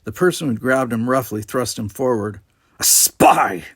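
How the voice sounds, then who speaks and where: Male story reader: husky, mid-pitched, expressive scripted narration in a dry acoustic. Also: brisk, no echo. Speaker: amateur audiobook reader.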